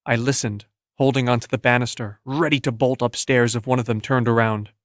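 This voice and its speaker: A man's voice: mid-range voice, fairly even intonation, brisk, no echo. Man, audiobook narrator, scripted first-person fiction, dry recording.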